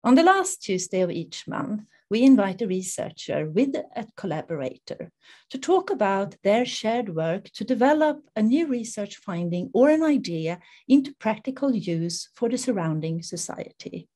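A middle-aged woman with a Swedish accent, formally introducing an online seminar as its host in a measured way. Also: medium pitch, very animated, a little mellow. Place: indoors in front of a dark backdrop, video call.

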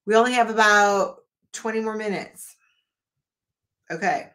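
A woman's voice: mid-range voice, measured pace, fairly bright tone. A middle-aged woman chatting casually with viewers on a live art stream, rambling and talking straight to them, losing her voice a little. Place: home art studio, indoors.

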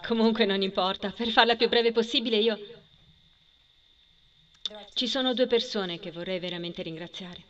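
A woman's voice: medium pitch, moderate pace, pauses often, a bit bright, dull audio, natural voice. A woman dubbing an emotional, protective award-winning singer in for an amateur film dub. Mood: flustered, grateful.

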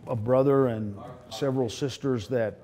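A man's voice: medium pitch, normal speed, mellow. A man speaking in a plain, conversational way in a casual interview.

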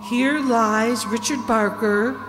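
An older woman playing a frightened, distraught wife in a radio mystery, halting and dramatic. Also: on the high side, steady tone, noisy background. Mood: dread. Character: frightened, distraught wife narrating a horror tale. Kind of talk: old-time radio mystery acting. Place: stage in a hall, live reading. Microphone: stand microphone. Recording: echoey hall stage performance, music behind.

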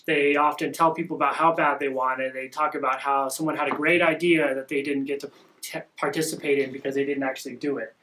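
A young man hosting a casual podcast at home, talking in a rambling, upbeat way with a soft voice, recorded by a camera across a small room. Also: medium pitch, fairly even intonation, quick pace, poor audio. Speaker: podcast host. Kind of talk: casual marketing podcast talk.

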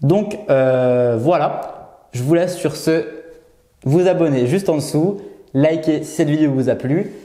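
A man's voice: on the high side, unhurried, dark tone. A young man in his twenties vlogging to camera in a small room, unscripted, with many fillers, stutters and restarts. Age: 20s. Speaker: YouTuber. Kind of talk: unscripted vlog talking to camera. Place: small bare room indoors, seated. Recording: roomy echo.

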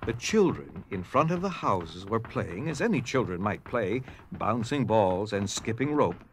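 A man's voice: lowish voice, sing-song, measured pace, slight background noise. A man narrating a scripted story dramatically in an audio drama.

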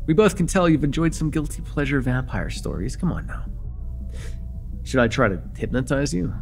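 A young man playing an excitable vampire in an immersive roleplay, casual and halting, insistent and teasing as he repeats himself. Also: medium pitch, vocal fry, soft background music. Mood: teasing, playful. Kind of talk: immersive ASMR roleplay acting.

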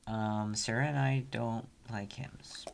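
An actor in his thirties playing a stubborn, evasive church committee member, halting and argumentative, in a comic training film. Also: fairly low pitch, steady tone, creaky. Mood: sheepish. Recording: faint background noise.

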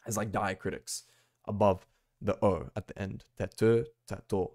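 A man's voice: on the low side, steady tone, normal speed, a little mellow. A young man from Australia, casually vlogging about learning languages from his bedroom, rambling with lots of fillers. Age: young adult. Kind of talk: casual talking-head vlog. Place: small bedroom, indoors.

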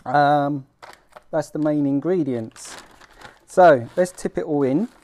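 A middle-aged man with a British accent hosting an informal homemade how-to vlog, talking in a halting, self-deprecating way as he works. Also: fairly high pitch, mellow. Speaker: fishing vlog host. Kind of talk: casual how-to demonstration. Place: small room at home, indoors.

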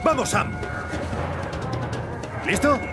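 Wry, animated young adventurer, Castilian. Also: male voice, extremely high pitch, drawn-out words, heavily acted. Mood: alarmed, exasperated. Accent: Castilian Spanish. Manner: sardonic, swearing. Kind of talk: dramatic video game voice acting, Spanish dub. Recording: loud music behind.

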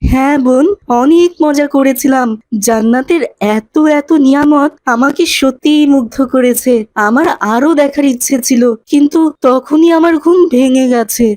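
A woman as an earnest, high-voiced cartoon boy. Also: normal speed, darkish tone, no echo, close to an everyday voice. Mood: wonder. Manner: earnest, explaining. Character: earnest, pious boy, gentle older brother to friends.

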